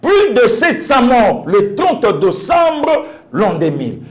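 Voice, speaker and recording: A man's voice: very high-pitched, huge pitch swings, slow pace, faint music behind. A man with a Congolese accent giving impassioned political commentary as a guest pastor, emphatic, repeating phrases and asking rhetorical questions.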